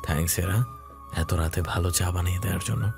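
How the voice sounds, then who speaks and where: Guy playing wistful kind husband, widower, really low soft voice, romantic audio drama w music behind. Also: steady tone, quick pace, vocal fry. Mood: relaxed, kind. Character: gentle, wistful widowed husband, well-off businessman.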